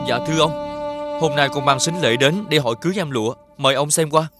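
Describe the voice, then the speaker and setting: A man playing a poor, polite young suitor in an animated fairy tale, deferential and respectful. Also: fairly high pitch, quick pace, natural voice. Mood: deferential, careful. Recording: clear music behind the voice.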